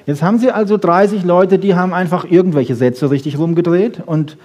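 A man's voice: high-pitched, a little fast, a little mellow. A man giving an informal lecture, explaining conversationally with rhetorical questions and short emphatic statements. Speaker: lecturer on brain research. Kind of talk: animated informal lecture to an audience.

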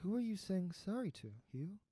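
A performer plays a sentimental old friend in an audio drama, earnest and pleading. The voice is mellow and sits mid-range, with hesitant, natural phrasing. It is recorded dry. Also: medium pitch, very animated, normal speed, breathy edge, dry recording. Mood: concerned. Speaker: voice actor in student audio drama.

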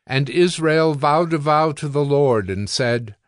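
A man narrating scripture from a script in a measured, solemn storytelling manner. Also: a little high, no room sound. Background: soft music.

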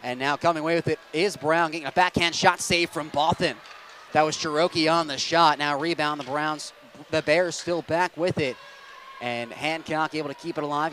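A young man calling ice hockey play-by-play with rapid, steady narration that rises to excited shouts on goals, on a headset mic in an arena booth. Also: a little high, a little hiss or hum. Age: young adult. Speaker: play-by-play announcer.

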